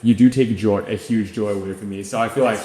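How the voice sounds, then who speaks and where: A young man in his twenties co-hosting a podcast, easygoing and quick to laugh, with a habit of repeating "yeah.". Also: lowish voice, fairly even intonation, mellow. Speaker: podcast co-host. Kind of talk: casual chat. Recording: echoey room with long reverb.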